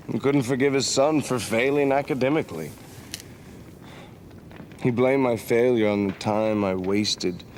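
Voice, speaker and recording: A gravelly, wistful young adventurer. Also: male voice, on the low side, darkish tone, slight background noise. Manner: reflective, wistful storytelling. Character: restless romantic young male adventurer. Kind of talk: 1990s video game voice acting.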